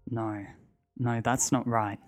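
A man voicing a quiet, uneasy man in an audio horror story, halting and hesitant as he answers. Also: medium pitch, little pitch movement, soft background music, lightly acted. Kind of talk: scripted audio horror fiction.

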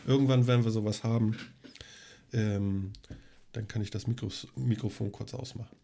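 Gravelly-voiced man with a cold. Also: fairly low pitch, fairly even intonation, normal speed, mellow, a little hiss or hum. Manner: chatty, rambling with many fillers. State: has a cold, coughing. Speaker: podcast host. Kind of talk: casual tech podcast chat. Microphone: studio microphone on a long cable.

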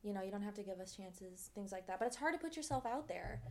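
A woman's voice: mid-range voice, expressive, fast talker, darkish tone. A woman hosting a casual interview, chatty and candid with some casual swearing.

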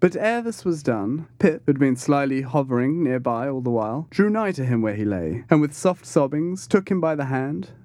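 A man's voice: mid-range voice, sing-song, normal speed, few pauses, darkish tone, dry recording, studio quality. A man narrating a literary audiobook in a dramatic storytelling style.

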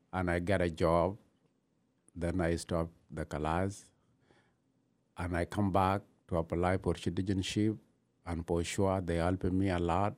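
Slow, deep-voiced man. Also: darkish tone. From Gambela, Ethiopia. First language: not English. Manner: halting non-native English, many pauses. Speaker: interviewee, new citizen. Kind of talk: personal story in an interview.

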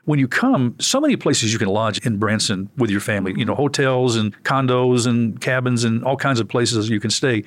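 A man with a mid-pitched voice hosts an upbeat radio interview. He sounds close and dry, with hardly any room around him. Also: expressive, normal speed, few pauses, no room sound. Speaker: radio show host.

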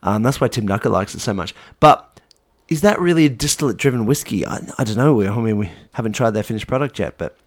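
A man's voice: lowish voice, lively intonation, quick pace, few pauses, no room sound, clean studio sound. An Australian man co-hosting a podcast in casual chat, with a wry, jokey and opinionated manner. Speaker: podcast co-host.

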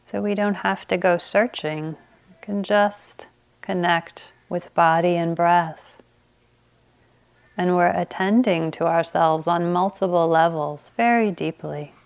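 A woman meditation teacher leading a guided meditation, speaking gently with long pauses. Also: fairly low pitch, gravelly.

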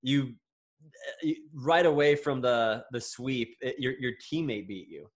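A man's voice: mid-range voice, lively intonation, a little slow. A man hosting a casual podcast, asking long rambling questions and joking around. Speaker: podcast host.

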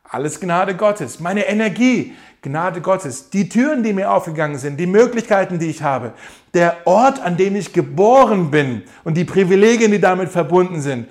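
A man preaching a sermon, emphatic and direct, slowing down to repeat key phrases. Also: high-pitched, animated. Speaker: pastor.

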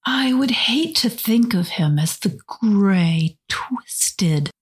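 A woman hosting a podcast, speaking in a hushed, theatrical whisper. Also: mid-range voice, expressive, takes it slowly, slight background noise. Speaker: podcast host. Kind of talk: whispered spooky narration.

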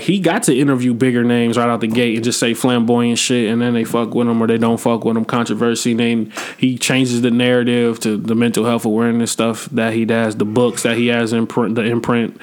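Blunt, slangy young man talking shop. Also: mid-range voice. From Midwest United States. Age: 20s. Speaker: podcast host. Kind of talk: casual podcast chat.

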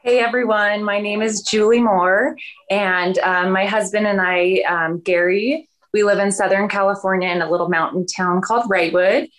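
A woman's voice: mid-range voice, steady tone, moderate pace, fairly bright tone. A woman, a parent on a panel, introducing her family in a friendly, chatty way.

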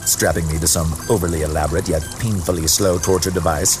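Guy doing a cool sardonic hero type, lowish voice, cartoon demo with music. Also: steady tone, fast, close to an everyday voice. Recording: music bed under the voice.

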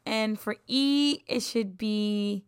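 A woman's voice: medium pitch, takes it slowly, clear, resonant tone, no echo, clean studio sound. A woman in her twenties from Puerto Rico, chatting casually about herself as a podcast host, upbeat and laughing often, sometimes dropping into a whisper. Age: 20s. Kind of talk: casual self-introduction.

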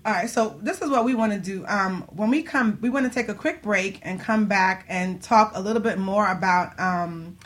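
A woman's voice: mid-range voice, moderate pace, hardly any pauses. A woman hosting a radio show in a casual, chatty and playful way. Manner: playful, joking.

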